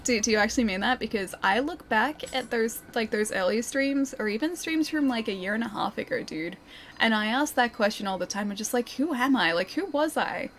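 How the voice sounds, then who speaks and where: A woman's voice: on the high side, animated, a little fast, a bit bright, slight background noise. A woman livestreamer chatting casually while playing a game, reading viewer comments aloud and giggling often. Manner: chatty and giggly, with many fillers like "like" and "dude".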